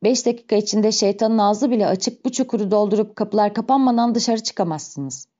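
An inexperienced young gravedigger, flustered and hurried, as a woman reads his lines. His voice is mellow and soft-edged. The sound is a little muffled. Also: mid-range voice, lightly acted. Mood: worried.

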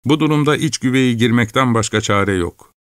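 A man's voice: moderate pace, fairly bright tone, smooth, no room sound, natural voice. A sturdy, naive young peasant lad, as a male reader puts him on talks in a raised, whining voice that keeps rising in complaint. The sound is close and dry. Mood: plaintive. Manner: whiny, plaintive, rising pitch.

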